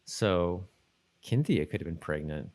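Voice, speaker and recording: A man's voice: deep voice, animated, measured pace, darkish tone. A man co-hosting a podcast, chatting informally about TV episodes in a rambling, slangy way. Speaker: podcast co-host. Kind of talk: casual TV episode recap chat.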